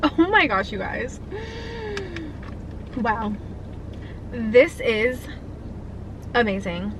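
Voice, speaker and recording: High-voiced chatty young woman eating. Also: animated, extremely slow, background noise. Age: 20s. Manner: chatty, enthusiastic, talks while eating. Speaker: food vlogger. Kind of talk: casual mukbang chat.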